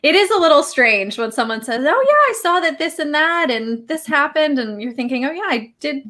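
A woman's voice: sits high, big pitch swings, normal speed, bright, forceful tone. A woman hosting a casual interview, asking friendly, encouraging questions. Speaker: interview host.

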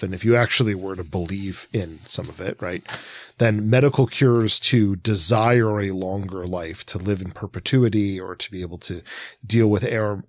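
A man's voice: lowish voice, a little mellow, no room sound. A man in his forties co-hosting a literary podcast, with a hesitant, meandering, exploratory way of talking. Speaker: podcast co-host. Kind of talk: conversational literary commentary.

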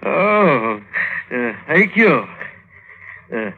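Slow, hesitant bumbling official. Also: male voice, a little high, very animated, exaggerated voice. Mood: flustered, puzzled. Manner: hesitant, stammering, vague. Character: bumbling, hesitant Ottoman grand vizier. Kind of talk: 1950s radio drama acting. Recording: faintly noisy, phone-like old radio recording.

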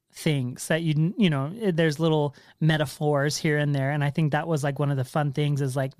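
A man's voice: a little high, a little mellow, no room sound, clean studio sound. A man hosting a casual podcast with his father, talking in a playful, jokey way. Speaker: podcast host. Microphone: handheld recorder.

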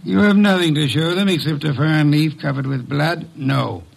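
An actor playing a haughty, erudite middle-aged detective boss in an old radio drama, pompous and curt, with sardonic, precise speech. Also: male voice, on the high side, normal speed, gravelly. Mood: grave, deliberate. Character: gruff, haughty, erudite male detective boss, orchid lover.

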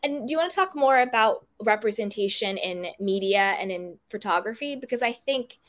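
A woman's voice: on the high side, expressive, normal speed. A woman hosting a podcast interview, talking in a chatty, upbeat way with plenty of "like" and "you know". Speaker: podcast host. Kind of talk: casual interview chat.

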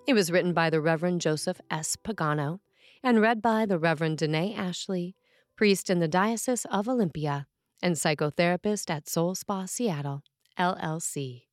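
A woman's voice: on the low side, moderate pace, no echo. Woman reading a sermon, very dry sound.

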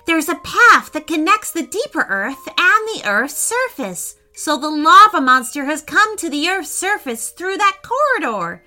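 An eager, clever young storybook boy played by a woman, high and bright. He exclaims his way through the adventure with very animated pitch. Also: measured pace, hardly any pauses, soft background music, heavily acted. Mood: explaining. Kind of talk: children's storybook character voice.

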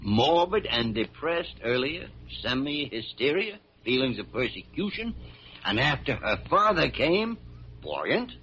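An actor playing a shrewd, irascible older senior doctor in a vintage radio drama, speaking emphatically. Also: male voice, medium pitch, very animated, a little slow, pushed and bright, slightly rough, heavily acted. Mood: insistent. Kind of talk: 1940s radio drama acting. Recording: muffled, noisy old radio recording.

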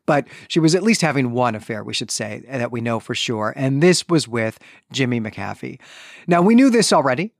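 A male podcast host talks through a literary recap in a conversational way. His delivery is thoughtful and halting, with phrases reworked as he goes. The voice sits at a middling pitch in a very dry, close space. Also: vocal fry, dry recording.

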